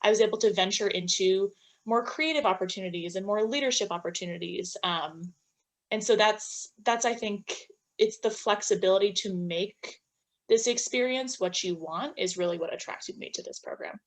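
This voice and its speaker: A woman's voice: mid-range voice, moderate pace. A woman in her twenties speaking informally as a student panelist in a webinar.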